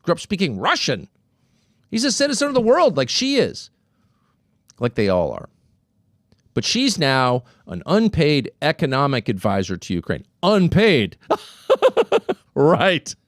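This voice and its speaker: A man's voice: a little high, wildly swooping pitch, a little slow, long pauses, a bit bright, dry recording, studio quality. A man hosting a political commentary show, talking emphatically with sarcastic asides. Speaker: host.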